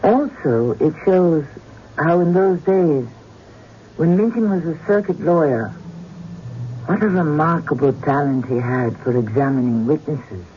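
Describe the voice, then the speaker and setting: Formal guy narrating an old radio show, fairly high voice, measured, muffled sound with a little hiss. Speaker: radio drama host and narrator. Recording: dull radio recording, faint noise.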